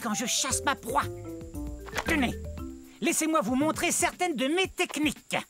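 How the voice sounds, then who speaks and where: A woman's voice: high-pitched, animated, unhurried, fairly bright tone, soft background music. A woman voicing a brainy, self-important little feathered dinosaur who lectures politely and precisely in a children's educational cartoon. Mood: smug.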